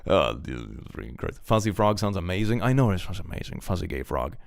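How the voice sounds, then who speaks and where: A man's voice: low-pitched, big pitch swings, brisk, creaky, no room sound, studio quality. A man reading out and reacting to viewer chat in a casual stream, chuckling often and trailing off with fillers. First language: German.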